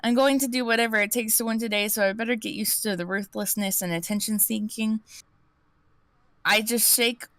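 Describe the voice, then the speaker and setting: Smooth-voiced woman narrating a story. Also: on the high side, fairly even intonation, a little fast, fairly bright tone, no echo. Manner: reads aloud and restarts misread words. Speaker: streamer reading an interactive story. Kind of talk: live-stream story narration.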